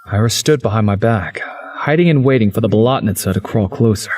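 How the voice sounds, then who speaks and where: Husky male visual novel narrator. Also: lowish voice, brisk, darkish tone.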